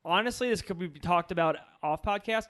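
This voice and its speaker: A man with a fairly high-pitched voice talks at a quick clip. He is co-hosting a movie podcast, chatting casually and informally with the other hosts and addressing listeners. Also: a bit bright. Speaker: podcast co-host. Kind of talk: casual film podcast chat.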